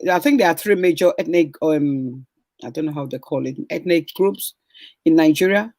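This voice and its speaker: A man's voice: on the high side, moderate pace, a little mellow, dry recording, clean studio sound. A man hosting an interview, curiously and encouragingly asking his guest questions, sometimes searching for words. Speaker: host.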